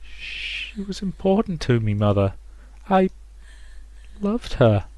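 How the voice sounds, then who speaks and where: A man voicing an anguished young woman in a visual novel, halting and pleading. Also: high voice, big pitch swings, darkish tone, no echo, pitched above the performer's own voice, exaggerated voice. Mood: grieving.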